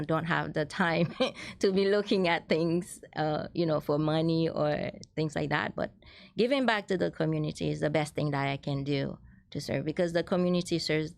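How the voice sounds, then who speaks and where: A woman's voice: deep voice, creaky, dry recording. A middle-aged woman from Haiti, a guest in a casual interview, talking earnestly with many restarts. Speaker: guest, transit ambassador.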